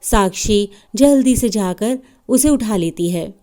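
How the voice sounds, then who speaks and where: A woman's voice: medium pitch, expressive, slow pace, warm tone. A woman narrating a scripted children's story as a storyteller.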